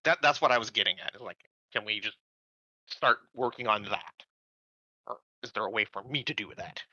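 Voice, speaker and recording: A man's voice: fairly low pitch, expressive, normal speed, pushed and bright, no room sound, lo-fi sound. A man talking casually as a player in a tabletop roleplaying game.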